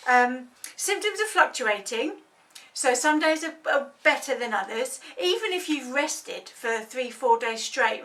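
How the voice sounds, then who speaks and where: A woman's voice: fairly high pitch, a bit bright, distant mic. A woman in her thirties speaking as a health advocate, explaining an illness in an earnest, conversational way with many hesitations. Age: 30s. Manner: earnest, conversational with frequent ums. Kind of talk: informal explainer talk.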